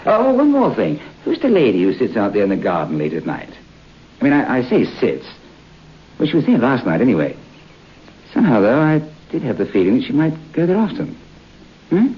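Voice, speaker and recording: An actor playing a restless, romantic writer who muses haltingly aloud in an old-time radio drama. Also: male voice, mid-range voice, huge pitch swings, husky. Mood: genial, curious. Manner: musing aloud, halting, talking to himself. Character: restless English writer on holiday, romantic, brooding. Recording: muffled noisy old radio broadcast.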